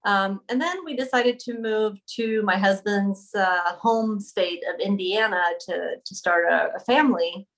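A woman's voice: medium pitch, unhurried, hardly any pauses, a bit bright. A woman from Sweden, a podcast guest telling her story conversationally and searching for words. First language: Swedish.

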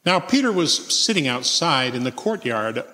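An adult male voice narrates scripture as a church reader. The pitch is medium, and the sound carries a long reverberant tail. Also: sing-song, normal speed, bright, forceful tone. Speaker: church member reading as narrator.

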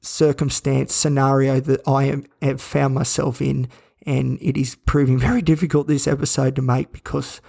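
A man hosting his own podcast from a hospital room, croaky and flat, talking in a scattered, halting way that circles back on itself. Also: medium pitch. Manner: scattered, halting, repeats himself. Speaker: podcast host. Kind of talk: casual personal monologue. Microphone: own podcast recording gear.

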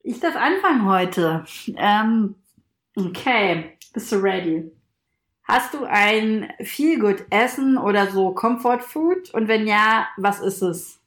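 A woman co-hosting a casual chat podcast, talking in a relaxed, teasing, colloquial way. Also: mid-range voice, takes it slowly, echoey room. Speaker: podcast co-host.

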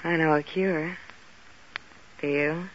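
A woman's voice: low-pitched, steady tone, slow pace, slight background noise. A woman playing a seductive, evasive femme fatale with a coy, hesitant manner in 1940s radio drama. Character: Blanche, toy-sized seductive, evasive brunette femme fatale. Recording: muffled old radio recording.

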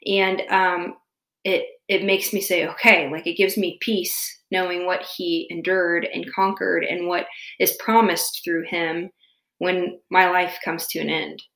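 A woman's voice: on the low side, level tone, unhurried, a bit bright, roomy sound, low-quality recording. A woman in her thirties talking reflectively in a casual faith conversation, searching for words as she goes. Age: 30s.

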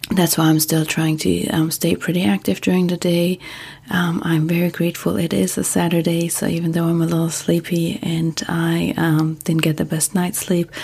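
Woman, German native speaker, soft monologue, flat delivery, gravelly fry, frequent ums. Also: lowish voice. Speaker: sleep podcast host. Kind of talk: soft-spoken casual monologue.

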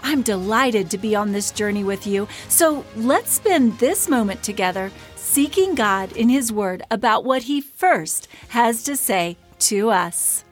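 A woman hosting a devotional podcast, earnest and encouraging, her voice hoarse and scratchy from a cold. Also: a little high, expressive, measured pace, hardly any pauses, faint music behind. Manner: earnest and encouraging, with short breathy laughs. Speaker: podcast host and ministry founder. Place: podcast studio.

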